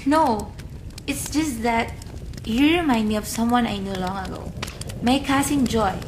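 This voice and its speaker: A woman's voice: fairly high pitch, unhurried, noisy background. An actress playing an emotional, easily wounded woman in a radio play. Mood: subdued, gentle. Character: bitter, hurt young woman, later gentle middle-aged daughter.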